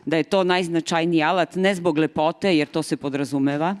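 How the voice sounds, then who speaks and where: Serbian woman in the audience, warm comradely greeting, smooth ringing voice. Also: sits low, steady tone, fast talker. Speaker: audience member.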